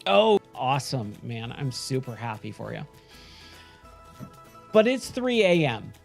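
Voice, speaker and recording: A man streaming late at night, chatting casually with viewers in a hoarse voice that is going. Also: medium pitch, big pitch swings, a little slow, faint music behind. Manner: chatty, rambling, frequent self-corrections. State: hoarse, losing his voice.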